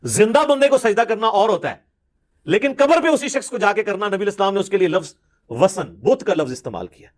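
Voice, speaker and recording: A man's voice: high voice, expressive. A man with a Pakistani Punjabi accent giving an informal religious lecture in an emphatic, argumentative, rhetorical way.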